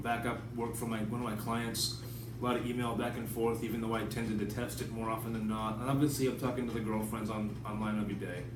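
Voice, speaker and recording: A man talking casually and off the cuff in a blunt, rambling, sometimes profane way, as the host of his own vlog. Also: medium pitch. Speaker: writer hosting his own video channel. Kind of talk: casual unscripted vlog talk. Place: indoors at home in an apartment. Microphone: tablet camera at a distance. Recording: distant, echoey room with clear background noise.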